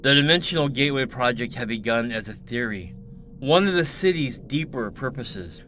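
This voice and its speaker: A man's voice: medium pitch, lively intonation, moderate pace, a bit bright, faint music behind. A man reading dramatic scripted science fiction narration as a storyteller.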